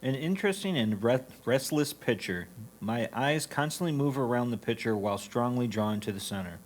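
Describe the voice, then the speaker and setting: Mid-pitched man reading comments. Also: animated, normal speed. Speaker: reader of judges' critiques. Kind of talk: reading written photo critiques aloud.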